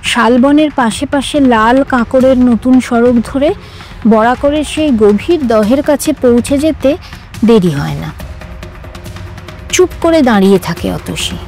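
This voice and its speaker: High, expressive woman reading a story. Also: measured pace. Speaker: story reader. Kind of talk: dramatic literary audiobook narration. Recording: music under the voice.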